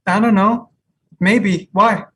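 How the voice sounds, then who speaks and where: A woman playing a friendly, easygoing young cafe customer in a comedy table read, low-key and a little hesitant. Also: fairly even intonation, slow pace, fairly bright tone, breathy edge. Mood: relaxed, friendly.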